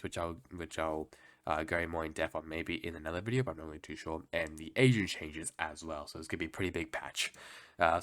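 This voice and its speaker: A man's voice: low-pitched, a bit bright, no room sound. A young man who is a bit sick, reading through game patch notes in a casual, hesitant way, often restarting and guessing. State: sick, voice lower than usual. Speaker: gaming video host.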